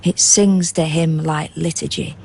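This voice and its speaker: A grave female narrator in a poetic radio play. She speaks slowly and deliberately, with a hushed, creaky edge. Faint ambient noise sits behind her voice. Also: deep voice, steady tone. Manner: measured, hushed, deliberate verse delivery. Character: grave poetic narrator watching over men sleeping in cars.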